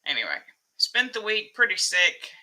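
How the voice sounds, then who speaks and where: A woman hosting a casual live stream, a little hoarse from losing her voice, rambling and laughing often as she greets her chat. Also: medium pitch, moderate pace, low-quality recording. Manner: excitable, reading chat names aloud.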